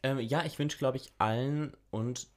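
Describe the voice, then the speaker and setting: A man co-hosting a casual chat podcast, bantering in a relaxed, slangy way. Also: medium pitch, a little slow. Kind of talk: casual chat, guessing game.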